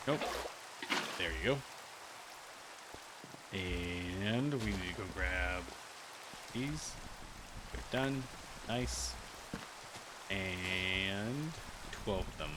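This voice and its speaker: A man's voice: low-pitched, expressive, extremely slow, pauses often, noisy background. A man giving casual, rambling commentary while playing a video game, thinking aloud with many restarts. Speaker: gaming video creator. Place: cold room with a heater.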